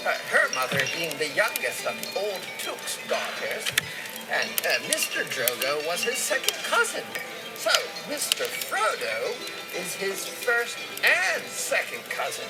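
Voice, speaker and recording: Old hobbit gardener, folksy, opinionated, very swoopy intonation, unhurried, audio play. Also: male voice, high voice, exaggerated voice. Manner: rambling, gossipy, rustic phrasing. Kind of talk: dramatized audio play dialogue.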